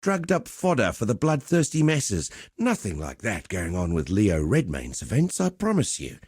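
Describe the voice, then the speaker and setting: A man's voice: fairly low pitch, wildly swooping pitch, moderate pace, darkish tone, breathy edge, dry recording, clean studio sound, full character voice. A man playing a swaggering, cheerful arena fight promoter in a video game, with theatrical, chummy, slangy patter. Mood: swaggering welcome. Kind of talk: video game character voice lines.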